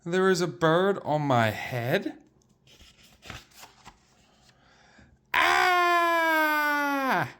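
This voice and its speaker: An anxious, excitable elephant from a children's storybook, played by a man. It exclaims and questions everything in disbelief, the pitch swooping widely and the words drawn out slowly. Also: clean tone, exaggerated voice. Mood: alarmed. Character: anxious, excitable cartoon elephant in a picture book.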